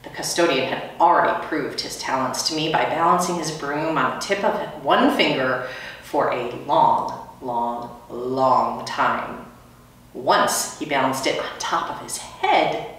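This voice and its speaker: A woman's voice: extremely low pitch, unhurried, fairly bright tone. A middle-aged woman, a teacher, reading a children's story aloud in a small school room, picked up by a camera a few metres away. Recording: echoey room, distant microphone.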